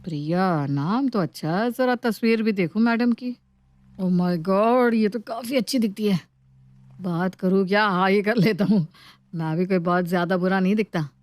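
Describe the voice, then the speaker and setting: A woman's voice: medium pitch, normal speed, darkish tone, no room sound, natural voice. A woman voicing an irritable, lovestruck young male office worker in casual, slangy Hinglish for a story. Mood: curious, smitten. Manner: casual Hinglish slang, grumbling or musing to himself.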